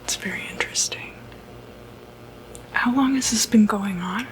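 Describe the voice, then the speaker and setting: A woman speaking in a breathy whisper, softly reassuring and hesitant, in an ASMR medical roleplay as clinic staff. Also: fairly high pitch, normal speed, poor audio. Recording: noticeable background noise, ambient sound.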